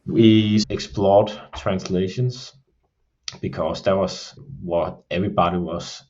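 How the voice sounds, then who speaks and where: Low, dark-voiced Danish man explaining. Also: steady tone, dull audio. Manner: measured, explanatory, frequent "you can say" fillers. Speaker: creative production lead. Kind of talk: podcast discussion.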